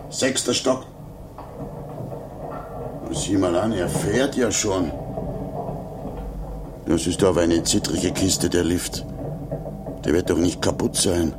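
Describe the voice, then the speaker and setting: An actor in a 1950s German radio play, playing an anxious, grumbling man who talks to himself with nervous self-reassurance in a gravelly, breathy voice. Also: deep voice, noisy background. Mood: uneasy, grumbling. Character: anxious, grumbling man alone in a rickety lift.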